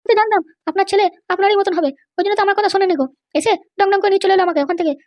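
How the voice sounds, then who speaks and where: নারী অভিনীত অতি চড়া গলার অভিমানী বউ. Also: female voice, very high-pitched, very fast, a little mellow, dry recording, pitched above the performer's own voice. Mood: indignant. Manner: complaining, accusatory. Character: shrill, sulky young wife, accusing her in-laws. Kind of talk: comedy cartoon dialogue.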